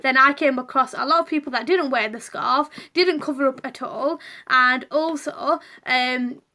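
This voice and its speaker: Young woman chatting to her viewers, high voice, lots of ums, open and personal. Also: normal speed, pushed and bright. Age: young adult. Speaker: vlogger. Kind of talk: casual personal vlog.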